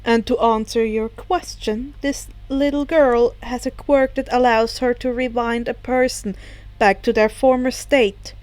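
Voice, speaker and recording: A woman voicing a calm, formal middle-sized role of a retired supervillain father in a story reading. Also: fairly high pitch, a little slow, no echo, natural voice, rough recording. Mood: matter-of-fact. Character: retired supervillain father, adult man, calm and matter-of-fact.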